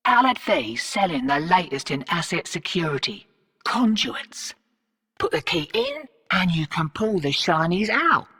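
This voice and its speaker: A tough female mercenary for a video game, her voice husky and forceful. She talks in clipped slang with sardonic weariness, taking her time while the pitch jumps around. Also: no room sound, clean studio sound, far from the mic, exaggerated voice. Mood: brisk, wry. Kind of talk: scripted video game character dialogue.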